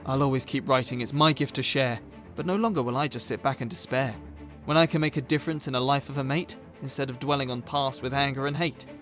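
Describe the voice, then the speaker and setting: An actor playing a troubled author who stands up for himself, speaking earnest, declamatory rhyming lines in an audio drama. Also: male voice, mid-range voice, quick pace, hardly any pauses, fairly bright tone, faint music behind, close to an everyday voice. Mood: defiant. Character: troubled male author standing up to his characters.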